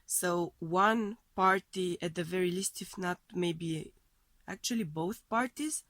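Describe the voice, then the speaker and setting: Tarot reader, woman, voice off from a cold; unhurried, searching delivery, close dry mic. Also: lowish voice, fairly bright tone, no room sound. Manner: tentative, searching phrasing as she reads cards. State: voice thrown off by a heavy cold. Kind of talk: weekly tarot love reading.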